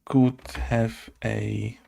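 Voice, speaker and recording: A man's voice: on the low side, level tone, drawn-out words, vocal fry, mellow. A man thinking aloud through a casual coding tutorial, hesitant and muttering to himself in short fragments. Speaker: game development tutorial host.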